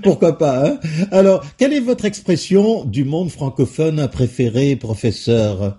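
A man hosting a radio talk show, asking his guest questions in an unhurried way with fillers. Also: a little high, expressive, dark tone, breathy edge. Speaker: radio host.

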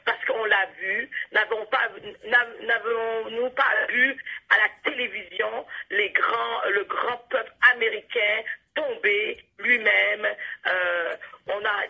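A woman with a Canadian French accent speaks as an interview guest in an earnest, reflective way. Her voice is creaky and a little husky, and she often restarts and repeats words. The recording is dull, like muffled radio. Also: fairly high pitch, steady tone, slow pace, sharp tone. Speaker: interview guest, playwright and storyteller.

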